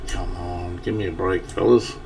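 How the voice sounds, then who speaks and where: A man's voice: sits low, little pitch movement, moderate pace, gravelly, darkish tone. A man giving casual gameplay commentary, thinking aloud in a rambling way with a slightly scratchy early-morning voice. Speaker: gaming channel host. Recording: distant mic, faint background noise.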